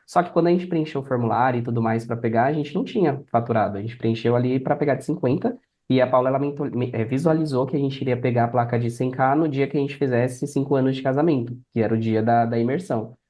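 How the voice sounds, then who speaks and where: Mellow Brazilian man explaining in conversation. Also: mid-range voice, quick pace, hardly any pauses. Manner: explanatory, anecdotal. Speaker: podcast guest, affiliate marketer. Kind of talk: conversational interview answers.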